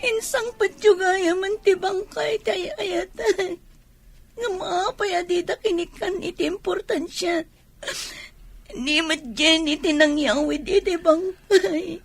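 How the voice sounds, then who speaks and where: A woman's voice: very high voice, fairly even intonation, slow pace, dry recording, faint music behind, exaggerated voice. A woman playing a whiny, grieving and demanding mistress in a Filipino radio comedy drama, with a Filipino accent. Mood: tearful, pleading.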